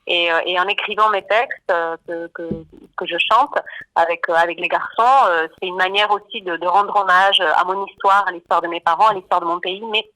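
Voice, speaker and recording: A woman's voice: lowish voice, steady tone, moderate pace, sharp tone, rough recording. A woman from Argentina, singer and songwriter of a band, talking as a radio interview guest, hesitant with many fillers and repeated words. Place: radio studio.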